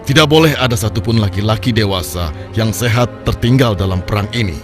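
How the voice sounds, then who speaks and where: A man voicing a humble, solemn new leader in a cartoon, speaking in a measured way. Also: on the low side, music in the background, lightly acted. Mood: resolute. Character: humble newly chosen leader of his people. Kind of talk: Islamic cartoon dubbing.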